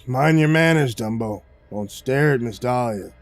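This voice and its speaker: A man voicing a huge, surly mob goon with a gruff growl and curt, slangy speech in a narrated story. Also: medium pitch, animated, unhurried. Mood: curt, hostile. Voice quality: growling, grunting.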